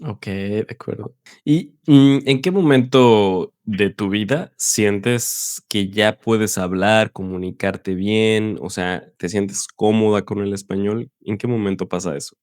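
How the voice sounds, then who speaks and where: A woman with a strikingly deep, low voice asks her guest questions in an easygoing, conversational way. The sound is dry and close, with no room echo. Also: takes it slowly, darkish tone, clear, resonant tone. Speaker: interviewer. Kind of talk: casual interview questions.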